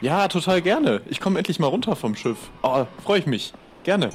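A man's voice: fairly high pitch, very animated, normal speed, slight background noise. A man playing a naive, easygoing pirate deckhand in an improvised audio adventure, talking in a hesitant, rambling slacker way. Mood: delighted. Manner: hesitant, rambling, laid-back slacker talk.

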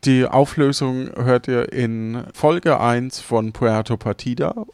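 A man's voice: medium pitch, slow, darkish tone, clear, resonant tone, dry recording, studio quality. A man hosting a podcast, chatting casually and informally. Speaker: podcast host. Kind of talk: casual podcast chat about an improvised audio adventure.

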